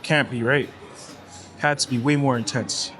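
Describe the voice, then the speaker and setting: A man's voice: medium pitch, steady tone, measured pace, a bit bright, faint music behind. A young man from Toronto with a Toronto accent, chatting casually in a gym vlog and coaching between sets, recorded on a clamped camera mic. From Toronto, Canada. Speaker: fitness vlogger. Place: indoor gym. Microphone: clamped camera mic, no tripod.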